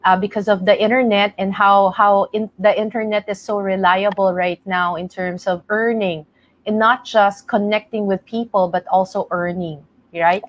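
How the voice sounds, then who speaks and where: A woman's voice: mid-range voice, fairly even intonation, normal speed, lo-fi sound. A woman from the Philippines hosting an online panel talk, chatty and laughing easily. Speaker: host.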